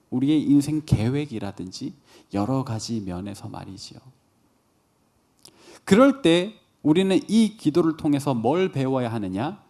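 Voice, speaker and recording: Male pastor, higher voice, very lively intonation, conversational preaching built on rhetorical questions. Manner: rhetorical questions, answers them himself. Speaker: pastor preaching to young adults. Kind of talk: sermon with conversational illustrations.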